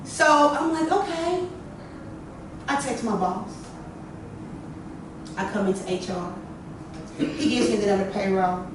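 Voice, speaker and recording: A woman hosting a workshop, telling stories to a small group in a lively, chatty way, with a lot of "you know.". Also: mid-range voice, slow, pauses often, recorded from a distance. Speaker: workshop host. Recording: echoey room, from afar, noisy.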